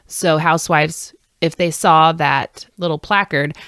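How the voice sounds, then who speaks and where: A woman presents a podcast in a low-pitched voice. She explains things in a casual, enthusiastic way, recorded dry and close to the microphone. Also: fairly even intonation, a little slow, a bit bright, clean tone, no room sound. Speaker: podcast host.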